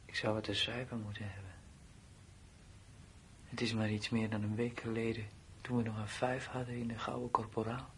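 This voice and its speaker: An actor playing a weary, anxious twenty-one-year-old soldier who broods and mutters in a radio drama. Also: male voice, level tone, moderate pace, close to an everyday voice. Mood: weary, brooding. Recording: noisy old radio play recording with rumble.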